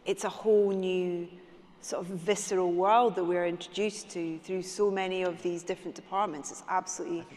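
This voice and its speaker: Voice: mid-range voice, fairly even intonation, a little fast, a little mellow. A panel moderator, asking questions in a chatty, playful way. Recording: echoey hall, faint noise.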